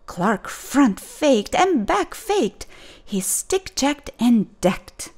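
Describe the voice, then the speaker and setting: A woman reading a children's storybook aloud with expressive, dramatic and deliberate phrasing. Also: on the high side. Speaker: storybook reader.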